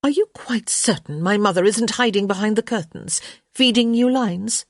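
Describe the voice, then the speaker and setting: A woman's voice: mid-range voice, moderate pace, no room sound, clean studio sound, pitched above the performer's own voice, lightly acted. A woman voicing a witty young unmarried lady, arch, teasing and sarcastic, in a story reading.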